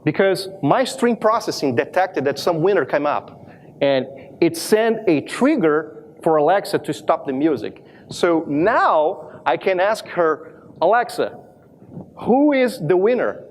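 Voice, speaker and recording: A man from Brazil in his thirties with a strong Brazilian accent, giving a lively live demo talk at a conference, with many restarts and self-deprecating asides. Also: a little high, unhurried. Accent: Brazilian Portuguese. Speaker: conference speaker. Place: large conference hall with audience.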